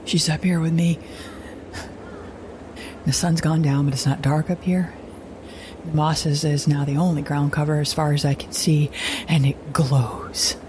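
Clear noisy ambience surrounds a lone woman survivor in an audio drama. Her voice sits a little low. She speaks haltingly and anxiously, repeating words and often sinking toward a whisper. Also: little pitch movement. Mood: awed, uneasy. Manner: halting, repetitive, drifting into breathy whispers. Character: anxious woman survivor alone in a strange wilderness. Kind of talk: audio drama monologue, like a spoken journal.

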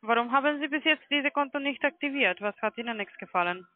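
A woman's voice: sits high, lively intonation, quick pace. A woman, a non-native German speaker with a foreign accent, making a sales call as a call centre agent. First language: not German. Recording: narrow phone-line sound.

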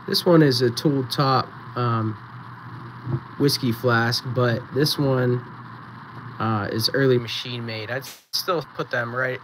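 A man hosting a live-streamed auction, chatting casually and counting down bids, with a voice going raspy. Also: medium pitch, slight background noise, rough recording. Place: small indoor photo booth setup.

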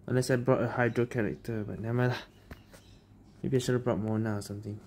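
A woman's voice: extremely low pitch, steady tone, fast, darkish tone, slight background noise. A woman giving casual gameplay commentary, musing aloud in hesitant phrases. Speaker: gaming streamer. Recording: game music behind commentary.

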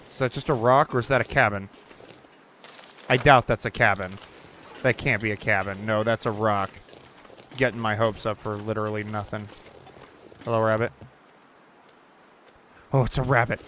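A young man giving casual, chatty commentary over a survival game, reacting out loud and swearing now and then. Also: medium pitch, a little hiss or hum. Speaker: gaming channel host. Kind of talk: casual let's-play commentary. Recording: faint game ambience behind.

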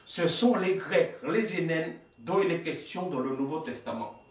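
Emphatic preacher in an echoey room. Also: male voice, a little high, moderate pace, distant mic. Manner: emphatic, gesturing, rhetorical questions, stumbles and self-corrects. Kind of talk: sermon reading and explaining texts. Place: small indoor worship room.

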